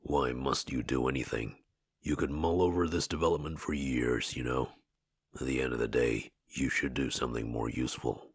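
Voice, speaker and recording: An extremely deep, husky spirit. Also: male voice, fairly even intonation, no room sound, clean studio sound, exaggerated voice. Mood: solemn, measured. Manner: formal, measured, philosophical. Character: calm ancient male spirit inhabiting a dead man's body. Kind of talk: video game voice acting.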